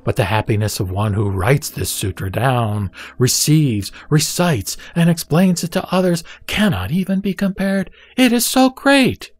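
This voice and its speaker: A man playing the Buddha as a serene, solemn teacher, reciting scripture in measured, deliberate phrases for an audio drama. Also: on the high side, very animated. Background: music.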